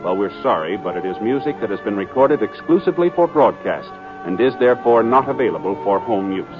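A man's voice: fairly low pitch, animated, a little fast. Formal american 50s radio announcer guy, muffled old recording, theme music playing behind him.

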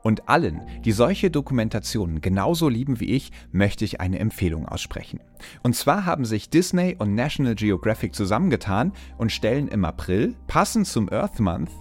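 Lively man reading an ad. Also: mid-range voice. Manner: lively promotional. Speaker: ad reader. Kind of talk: read advertorial.

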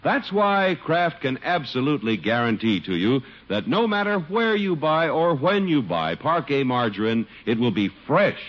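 Emphatic vintage radio announcer man, swooping pitch. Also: big pitch swings. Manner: emphatic, drawn-out key words. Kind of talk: 1940s radio advertising and scripted narration. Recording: dull old radio broadcast.

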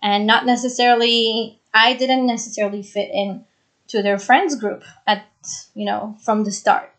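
A woman's voice: a little high, fairly even intonation, normal speed, a bit bright. Chatty young woman podcast host. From Brazil, raised in Israel. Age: 20s. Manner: earnest, chatty, repeats phrases for emphasis. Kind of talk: solo podcast monologue.

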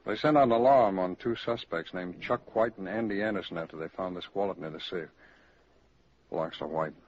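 An actor playing a brisk, firm, matter-of-fact male federal agent in a 1940s radio drama. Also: low-pitched, darkish tone, natural voice. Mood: businesslike. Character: brisk, businesslike male FBI special agent. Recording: muffled, noisy 1940s radio recording.

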